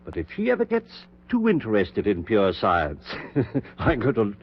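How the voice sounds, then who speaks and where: An actor playing an obsessed, middle-aged research scientist in a 1940s radio drama, earnest and insistent, with formal diction. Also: male voice, lowish voice, wildly swooping pitch, measured pace, husky, exaggerated voice. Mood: assured, genial. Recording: dull 1940s radio recording.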